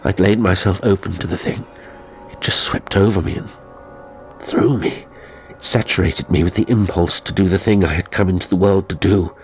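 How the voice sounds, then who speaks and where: A man voicing a tormented English gentleman in an audiobook, evasive and desperate, with a strained, halting intensity. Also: low-pitched, faint music behind. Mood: haunted, desperate. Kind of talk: audiobook character acting.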